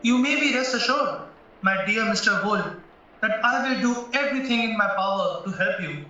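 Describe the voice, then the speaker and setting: Man acting defence lawyer, fatherly, reassuring, audio play, sharp bright tone, distant muffled echo. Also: high voice, expressive, normal speed. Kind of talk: stage-style radio play acting. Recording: muffled, distant, echoey room recording.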